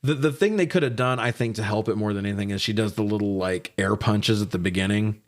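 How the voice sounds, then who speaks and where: A man's voice: fairly low pitch, fast talker. A man co-hosting a casual, opinionated film review in slangy, profane conversational speech. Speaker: podcast co-host reviewing films.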